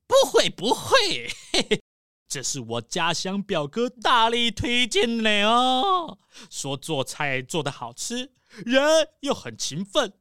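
A woman's voice: fairly high pitch, wildly swooping pitch, slow pace, pushed and bright, no room sound, clean studio sound, heavily acted. Woman playing a fussy, swooping squirrel tycoon. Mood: excitable. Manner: fussy, exclamatory, repeats words. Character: rich male squirrel tycoon, fussy, anxious, food-loving. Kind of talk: children's audio story acting.

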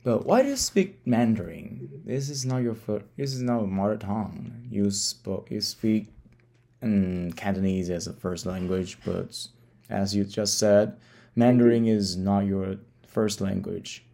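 A man hosting a podcast interview with halting delivery, often stumbling over and repeating words, in a gravelly voice. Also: on the low side, dark tone. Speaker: podcast host. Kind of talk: interview, reading a guest introduction then asking questions.

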